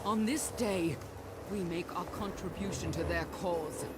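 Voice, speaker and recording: Zealous high-voiced game cult leader. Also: male voice, measured pace, background noise. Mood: fervent, solemn. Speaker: actor in a video game. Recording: loud music behind the voice.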